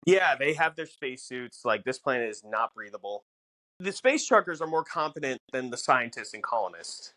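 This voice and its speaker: A man co-hosts a podcast, explaining in a casual way. His voice is mid-pitched and recorded dry and close. He delivers his thoughts in short, halting pieces. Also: animated, sharp tone, no room sound, rough recording. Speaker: podcast co-host.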